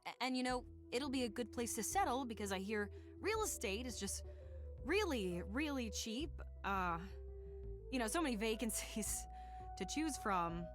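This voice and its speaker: A woman voicing a brash, hot-tempered, sardonic female robot character in a video game cutscene, blunt and expressive. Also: fairly high pitch, moderate pace, soft background music. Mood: awkwardly upbeat.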